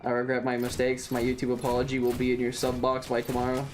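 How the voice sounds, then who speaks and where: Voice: medium pitch, completely flat delivery, fast. A streamer from the southern United States giving casual video game commentary in a playful, mock-serious, rambling way. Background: game music.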